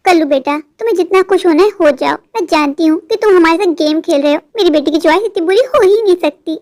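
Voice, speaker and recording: A woman's voice: very high-pitched, fast, darkish tone. A voice actor playing a scheming middle-aged mother who talks sweetly to others and makes sly, threatening asides in a cartoon. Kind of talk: cartoon voice acting.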